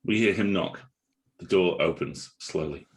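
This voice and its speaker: A man's voice: sits low, unhurried, slightly rough. A man reading stage directions as scripted narration at a table read.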